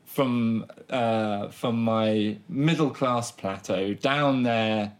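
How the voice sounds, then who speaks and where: A man's voice: fairly low pitch, very slow. A man with a Southern English accent co-hosting a podcast in casual chat, joking in a mock-pompous way and rambling with restarts. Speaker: podcast co-host.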